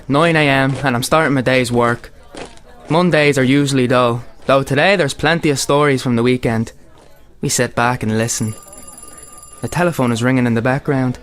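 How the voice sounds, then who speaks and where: A man's voice: medium pitch, natural voice. A man with an Irish accent acting an earnest, plain-spoken Irish soldier in a radio play, in narration and dialogue. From Ireland. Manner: reflective first-person storytelling. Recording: faint noise and background ambience.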